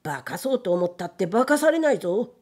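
A woman voicing a rough-spoken male gatekeeper who blusters while scared, in a period storybook tale. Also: medium pitch, very animated, measured pace, dark tone, full character voice. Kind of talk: dramatic storytelling of a period novel.

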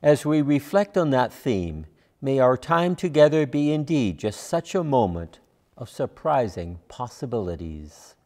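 An older man, a guest minister, reading prayers and reflections in a measured, formal, solemn way at a church lectern. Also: mid-range voice, big pitch swings, a little mellow. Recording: reverberant hall sound.

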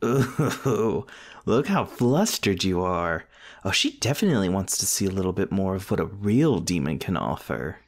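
A man's voice: low voice, very animated, moderate pace, full character voice. A man playing a snobbish young demon, a bully's sneering sidekick, in an audio roleplay. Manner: snide, jeering. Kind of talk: ASMR audio roleplay acting.

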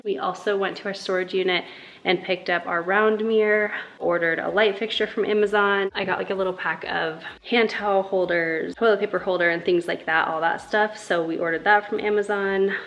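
A woman's voice: on the low side, fairly bright tone, lo-fi sound. Chatty young woman vlogging in her kitchen. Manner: chatty, lots of fillers. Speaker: family vlogger. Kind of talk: casual vlog chat. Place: home kitchen, indoors. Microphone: handheld camera at arm's length.

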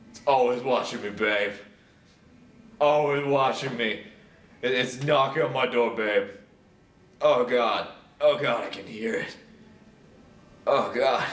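A man's voice: lowish voice, a bit bright. Man acting drawling drunk lovesick guy, roomy recording. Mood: anguished, paranoid. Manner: slurred, halting, repetitive, overwrought. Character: drunk, lovesick, melodramatic young man pining for his ex. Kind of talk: comedic rambling voicemail monologue. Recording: roomy, distant, noisy home recording.

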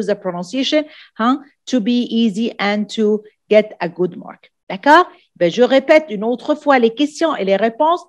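Female language tutor, unhurried, repeats key phrases over a screen-share lesson. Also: mid-range voice. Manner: repetitive, checks in with "d'accord?" often. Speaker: French teacher. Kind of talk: exam-prep lesson explaining in English and French. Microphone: voice-over on screen recording.

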